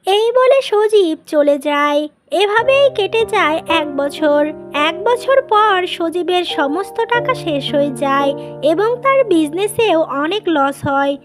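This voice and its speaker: A woman's voice: extremely high pitch, lively intonation, slow, over music. A woman reading scripted narration for a cartoon family story.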